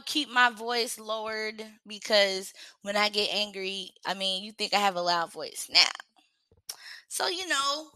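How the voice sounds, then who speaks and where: Slow, bright-voiced young woman, casual livestream host, dry close sound. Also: on the high side, dry recording. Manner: chatty, reads questions aloud and answers them frankly. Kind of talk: casual livestream self-reflection.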